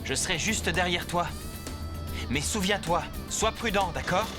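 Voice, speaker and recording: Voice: medium pitch, animated, measured pace, over music, lightly acted. Acteur jouant un père encourageant de dessin animé, voix moyenne et posée, musique très présente derrière. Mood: encouraging. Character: caring father and team leader. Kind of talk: French cartoon dub.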